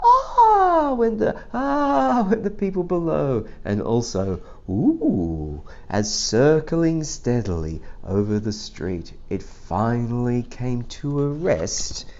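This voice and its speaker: A man reading a rhyming children's story aloud in an expressive, drawn-out way, with playful asides and sound effects. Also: mid-range voice, lo-fi sound. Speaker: storybook reader.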